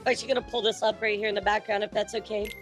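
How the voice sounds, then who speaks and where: A woman's voice: mid-range voice, level tone, rapid-fire, soft background music. A woman interviewing a guest in an online chat, talking casually with plenty of fillers.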